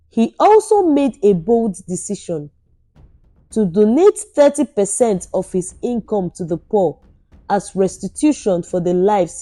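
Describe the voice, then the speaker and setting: A woman storyteller delivers scripted, dramatic folktale narration. Her tone is soft and mellow, and her pace is unhurried. Music runs behind her voice. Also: medium pitch.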